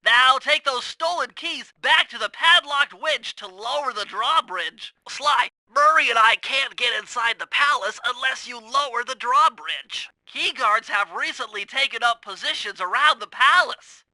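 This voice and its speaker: A man's voice: extremely high pitch, measured pace, hardly any pauses, pushed and bright, dry recording, exaggerated voice, poor audio. A man voicing a brainy, earnest young cartoon turtle in a video game, explaining things in precise, technical, wordy instructions. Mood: earnest instructing. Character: nerdy young male cartoon turtle, brainy, earnest gadget-minded strategist. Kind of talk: video game character voice acting.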